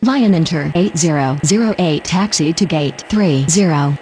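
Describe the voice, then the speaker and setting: A woman plays a businesslike aviation radio voice for a game. Her pitch is on the low side, with faint noise under the procedural calls. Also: a little slow, darkish tone. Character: businesslike female pilot and controller on radio. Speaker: pilot and air traffic controller radio voice. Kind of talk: scripted aviation radio phraseology.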